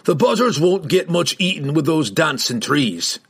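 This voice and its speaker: Gruff, growling dwarf warrior, animated. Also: male voice, on the high side, normal speed, voice pushed up, full character voice. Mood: scornful. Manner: growling, barking, rustic folksy speech. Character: gruff, defiant, quick-tempered male dwarf warrior. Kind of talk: fantasy audiobook character voice.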